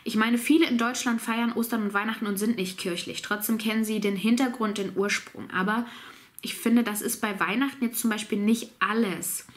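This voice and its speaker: A woman's voice: on the high side, moderate pace. A woman in her thirties with a cold, chatting casually to camera from a small home office as she answers viewers' questions. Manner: relaxed, chatty, laughs easily, reads out questions. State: has a cold.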